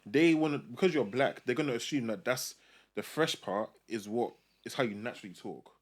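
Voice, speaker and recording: A man's voice: mid-range voice, brisk. A young man, chatting casually on a podcast and using lots of slang. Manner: relaxed slangy talk, lots of London street slang.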